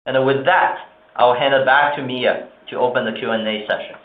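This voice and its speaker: A man speaking formally and in a measured way as a company executive on an earnings call. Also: medium pitch. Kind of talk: earnings call remarks and answers. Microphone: conference call line. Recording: roomy, narrow phone-like conference line.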